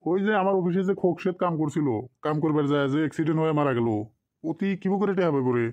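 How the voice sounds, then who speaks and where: A man's voice: on the high side, fast talker, a little mellow, lo-fi sound, close to an everyday voice. A man voicing a stingy, scheming office boss in a cartoon drama, brusque and condescending, in a rural Bengali dialect. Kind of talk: amateur cartoon drama dialogue.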